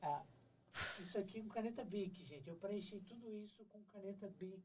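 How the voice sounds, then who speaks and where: A man's voice: high voice, normal speed, a little mellow, breathy edge, rough recording. A man in his forties, a podcast guest, telling stories in an earnest, nostalgic way, often repeating words and restarting as he speaks. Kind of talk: reflective storytelling.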